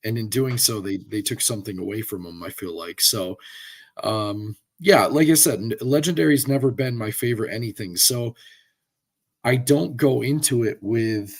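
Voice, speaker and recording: Hoarse man with a cold, rambling. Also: medium pitch, normal speed. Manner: rambling, opinionated, many fillers and restarts. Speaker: podcast co-host. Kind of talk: casual chat.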